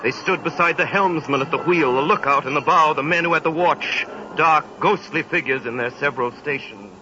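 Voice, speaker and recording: A man's voice: on the high side, fairly quick, slight background noise. A man narrating a classic tale in a 1930s radio broadcast, in a rich, dramatic storytelling manner. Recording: dull 1930s radio broadcast with loud music.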